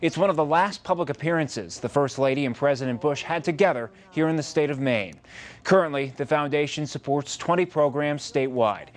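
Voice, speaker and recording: A man's voice: fairly high pitch, fairly quick. An American man reading scripted news as a TV anchor.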